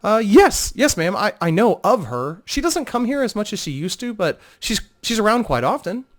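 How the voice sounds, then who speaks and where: A man's voice: high voice, very animated, normal speed, pitched above the performer's own voice. A man voicing a polite, apologetic woman innkeeper, hesitant and deferential, in a fantasy audiobook reading. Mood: hesitant, helpful.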